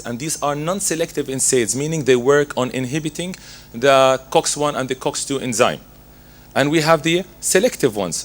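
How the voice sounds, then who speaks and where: A man with laryngitis, hoarse, giving a methodical medical lecture as a pharmacist, working through his slides. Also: medium pitch, fairly bright tone.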